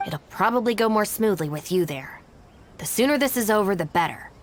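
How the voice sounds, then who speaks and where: A woman's voice: mid-range voice, expressive, fairly quick, natural voice. A woman playing a stern, level-headed young gang minder in a video game, speaking in a dry, controlled, exasperated way. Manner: dry, controlled, matter-of-fact. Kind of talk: English video game voice acting.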